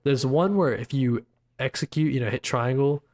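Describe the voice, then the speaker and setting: A man's voice: medium pitch, moderate pace, a little mellow. A man co-hosting a podcast, chatting casually about video games in slangy, bro-style talk with swearing. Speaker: podcast co-host.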